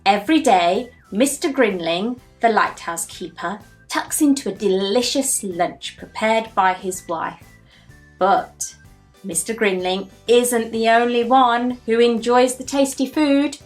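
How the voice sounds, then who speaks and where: Slow-paced woman reading a children's storybook. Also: fairly high pitch, faint music behind. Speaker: children's story-time host and reader. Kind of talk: read-aloud storybook narration with questions to young listeners.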